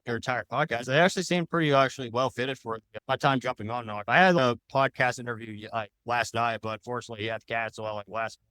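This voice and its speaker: A man's voice: medium pitch, a bit bright, clean tone, no echo. A man talking casually as a podcast guest, rambling along in loosely worded sentences. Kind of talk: casual interview chat.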